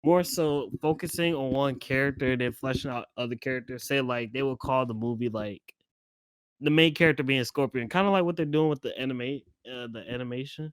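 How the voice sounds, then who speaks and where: Male co-host, medium pitch, informal and slangy, casual group chat, dry recording. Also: a little fast, clean tone, no echo, low-quality recording. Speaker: podcast co-host. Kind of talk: casual panel chat about games and films.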